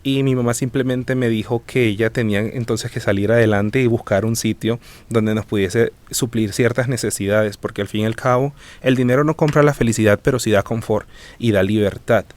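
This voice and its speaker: A man in his twenties with a Venezuelan accent, telling his own story as a guest in an earnest, measured way. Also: medium pitch, steady tone. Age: 20s. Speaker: guest, student. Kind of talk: reflective personal account.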